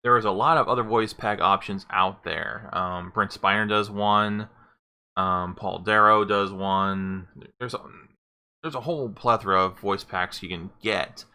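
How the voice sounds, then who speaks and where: A man streams a game with casual, meandering commentary. His fairly low voice is gravelly with a sharp edge, and he feels his way through sentences hesitantly. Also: fairly even intonation, unhurried. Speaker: streamer demonstrating game voice packs.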